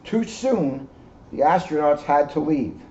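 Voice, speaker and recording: A man in his sixties, a police officer reading aloud to children and commenting informally in an earnest, expressive way. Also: on the high side, a little slow. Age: 60s. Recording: muffled, noisy amateur video sound.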